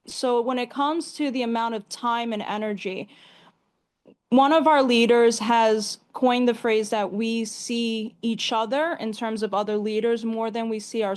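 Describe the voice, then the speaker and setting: A woman's voice: fairly high pitch, steady tone, measured pace. An American woman in her twenties answering as an activist guest in a conversational interview, emphatic and repeating phrases for stress. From New York State, US. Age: 20s. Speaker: activist guest, community organizer.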